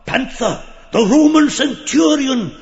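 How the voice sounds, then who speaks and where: Manic diabolic creature, high and creaky. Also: male voice, animated, slow, darkish tone, dull audio, exaggerated voice. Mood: sly, lecturing. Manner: theatrical, erratic, howling and yelping. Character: diabolic grotesque creature, manic ranting heretic. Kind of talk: surreal audiobook stage play.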